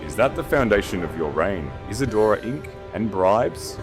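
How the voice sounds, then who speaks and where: A young, regal storybook queen acted by a man. The delivery is calm and commanding, coldly unyielding, with animated intonation. Music plays clearly behind the voice. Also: on the low side, close to an everyday voice. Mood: cold scorn. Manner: measured, resonant, commanding.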